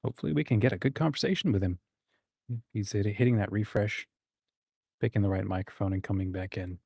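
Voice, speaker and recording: A man's voice: fairly low pitch, fast talker, creaky, dark tone, breathy edge, dry recording, clean studio sound. A man hosting a livestream in a casual, informal and encouraging chatty way. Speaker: host of a writing community livestream.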